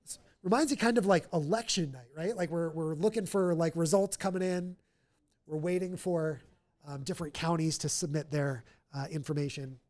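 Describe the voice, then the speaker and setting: A man's voice: a little high, moderate pace, darkish tone. A man with a nasal voice hosting a live charity stream, chatting upbeat to fill time. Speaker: livestream host. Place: stadium event room.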